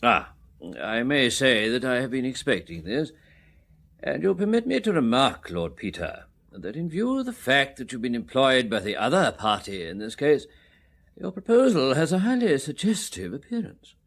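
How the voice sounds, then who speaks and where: A man's voice: medium pitch, wildly swooping pitch, normal speed, fairly bright tone, exaggerated voice. A formal English solicitor, stiff and suspicious. His mid-range voice moves with marked rise and fall, and every phrase is pompous and precise, in radio drama style. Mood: suspicious, disapproving.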